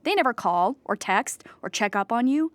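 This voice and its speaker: An actress playing an anxious, earnest, depressed clown in a theatrical audio drama monologue, explaining with dramatic emphasis. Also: female voice, on the high side, expressive, normal speed, dry recording, close to an everyday voice. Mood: bleak, self-berating.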